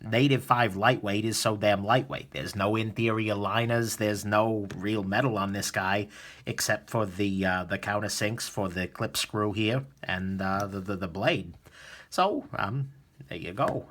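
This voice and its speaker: A man hosting a casual knife disassembly video, chatty and relaxed with lots of fillers, a little sniffly from a cold. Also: on the low side, measured pace, a little hiss or hum. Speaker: knife reviewer, host. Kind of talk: casual tutorial narration.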